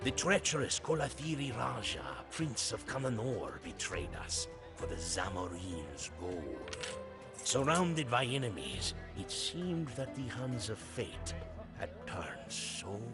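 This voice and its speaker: A man's voice: fairly low pitch, sing-song, slow, full character voice. A man playing a proud Portuguese seafarer, narrating a strategy game's storybook campaign in a grave, theatrical manner. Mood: ominous, bitter. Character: proud Portuguese seafarer recounting his captain's conquests. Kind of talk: dramatic scripted storybook narration for a strategy game. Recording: studio voice over prominent music.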